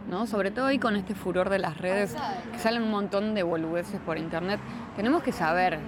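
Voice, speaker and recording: A woman with a Rioplatense Argentine accent chatting informally and giving frank opinions as an interview guest. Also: mid-range voice. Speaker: interviewed guest, children's science entertainer and writer. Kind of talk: casual chat, answering interview questions. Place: busy brewery bar hosting an event. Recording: crowded venue with urban background noise. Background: crowd and street noise.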